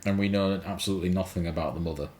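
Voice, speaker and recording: A man's voice: deep voice, very fast, a little mellow, a little hiss or hum. A man co-hosting a casual panel discussion with a wry, critical way of talking. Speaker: podcast co-host.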